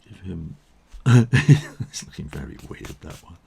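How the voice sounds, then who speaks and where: A man with a tired, croaky voice, thinking aloud with soft chuckles as he teaches drawing and painting. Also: sits low, lively intonation, takes it slowly. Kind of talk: casual drawing tutorial narration.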